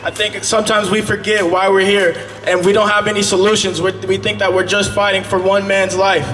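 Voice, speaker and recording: A man's voice: sits high, little pitch movement, a little fast, fairly bright tone, soft background music. Earnest man speaking at a rally. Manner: conversational, earnest, with fillers. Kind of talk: earnest protest speech. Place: outdoor rally at city hall. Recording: echoey PA at an outdoor rally.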